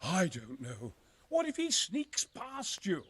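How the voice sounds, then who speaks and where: An actor playing a nervous, middle-aged military engineer and former crusader in a video game, speaking earnestly in formal, old-fashioned phrasing. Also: male voice, high-pitched, wildly swooping pitch, takes it slowly, a bit bright, husky, heavily acted. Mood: frightened. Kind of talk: dramatic video game acting.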